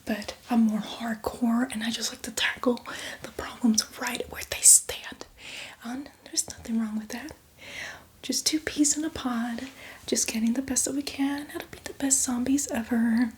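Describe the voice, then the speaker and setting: A woman playing a boastful, playfully menacing female zombie in a chatty, teasing ASMR roleplay, speaking in a breathy, hushed whisper. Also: a little high, fairly even intonation, normal speed, a little hiss or hum, lightly acted. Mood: chatty, proud. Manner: chatty, teasing, addresses the listener as victim.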